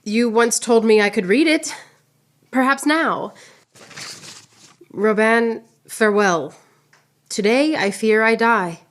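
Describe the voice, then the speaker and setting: A woman's voice: fairly high pitch, slow, pauses often. A woman playing a proud, witty, wounded swordswoman poet in radio theater, with a lofty, theatrical delivery. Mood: tender longing.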